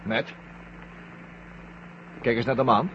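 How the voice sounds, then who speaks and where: An actor playing an excitable, anxious astronaut crewmate in a 1970 science-fiction radio drama, urgent and questioning. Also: male voice, medium pitch, slow pace, close to an everyday voice. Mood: concerned. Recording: muffled, noisy old radio drama recording.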